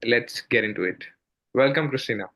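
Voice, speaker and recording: A man's voice: mid-range voice, brisk, a bit bright. A man hosting an interview show, reading an introduction and asking questions with frequent uhs and you-knows. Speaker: podcast host.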